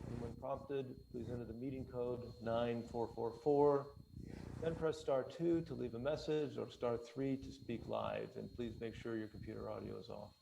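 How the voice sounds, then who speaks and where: A man moderating a formal online public meeting as its chair, speaking in a hesitant, halting way. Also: medium pitch, steady tone, vocal fry, noisy background.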